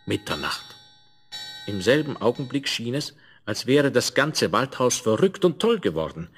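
A man narrating a scripted fairy tale in a measured, expressive storytelling manner. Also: medium pitch, slight background noise. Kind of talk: scripted fairy-tale narration, 1970s German radio play.

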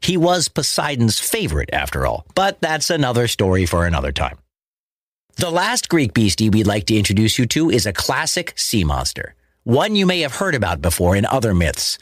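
Male storyteller for kids' myths; playful, highly animated, close dry sound with light music. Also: normal speed, no room sound. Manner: dramatic, playful. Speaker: storyteller, host. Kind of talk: scripted children's myth narration.

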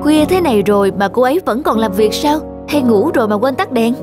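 A man's voice: very high-pitched, animated, brisk. A man voicing a sceptical, proud young scientist in a cartoon, formal in manner. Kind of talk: animated drama dubbing. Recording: music under the voice.

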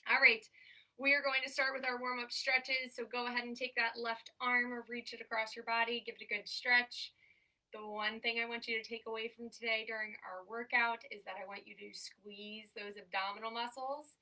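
A woman's voice: on the high side, little pitch movement, sharp tone. Congested young woman leading a workout. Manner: upbeat, encouraging, counts down holds slowly. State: congested from allergies, breathing a bit heavily. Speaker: occupational therapist leading an exercise class. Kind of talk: guided workout instruction. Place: small room at home, in front of a banner backdrop. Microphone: camera mic from across the room. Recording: voice from a distance off a camera mic.

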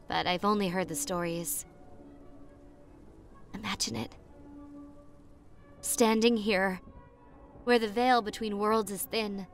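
A sweetly excitable young college girl, acted by a woman, with a fairly high voice. She talks slowly and dreamily, with dramatic pauses, like a date telling ghost stories in an intimate ASMR roleplay. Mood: wistful, romantic. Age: young adult.

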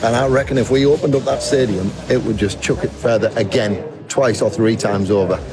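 A man's voice: medium pitch, a little fast. A man giving an informal, approving testimonial. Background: music.

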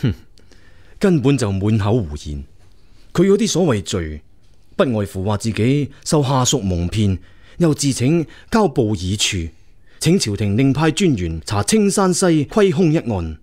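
A man's voice: mid-range voice, very animated, unhurried, a little mellow. A man playing a loyal prince, the emperor's younger brother, advising him earnestly and deferentially in a radio drama. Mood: indignant. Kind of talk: Cantonese radio drama acting.

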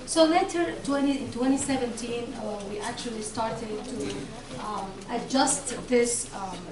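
A woman's voice: a little high, measured pace, a crowd in the background. Hesitant woman presenting in an echoey hall. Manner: hesitant, many fillers. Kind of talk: conference presentation. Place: large lecture room with audience. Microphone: far camera. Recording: echoey room, recorded from far, audience noise.

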